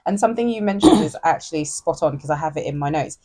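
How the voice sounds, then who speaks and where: Woman host, husky low voice, chatty and reflective, lots of ums. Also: lively intonation, fast talker, dark tone. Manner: affirming, reflective, many fillers. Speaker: podcast host. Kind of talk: casual chat.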